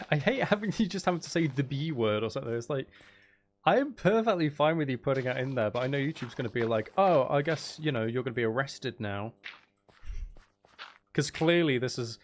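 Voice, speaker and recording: Sarcastic man streamer over clear background noise. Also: medium pitch, expressive, fairly quick. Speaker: livestream host. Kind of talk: casual livestream chat.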